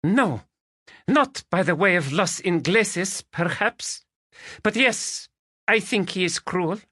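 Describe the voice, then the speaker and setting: A proud Spanish noblewoman, put on by a male narrator, her clipped, slightly broken English swinging high and bright. Her voice lifts well above a man's range and leaps about with feeling. The storybook reading sits close and dry. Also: lively intonation, slow, no room sound, exaggerated voice. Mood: furious. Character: proud fiery Spanish noblewoman, young adult. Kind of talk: audiobook character voice.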